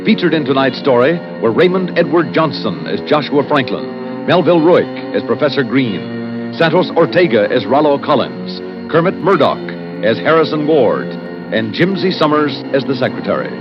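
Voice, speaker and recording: Male American announcer for vintage radio suspense, formal and dramatic, animated pitch, music underneath, muffled recording. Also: moderate pace. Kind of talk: 1960s radio announcing, scripted.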